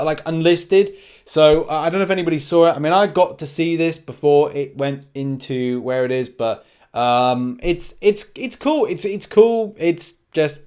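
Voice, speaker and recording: Higher-pitched man giving casual gaming commentary. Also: a little mellow. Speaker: gaming video host. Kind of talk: casual unscripted game news commentary.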